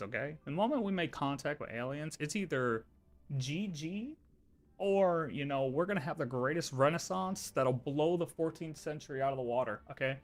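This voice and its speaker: A man's voice: mid-range voice, fairly bright tone. A man livestreaming with casual, off-the-cuff commentary, emphatic and repeating himself to make his point. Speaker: livestreamer reacting to a video.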